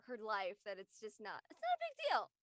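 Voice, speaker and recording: Female panelist, animated pitch, bright tone, informal delivery. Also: fairly quick. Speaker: talk show panelist. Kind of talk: casual chat.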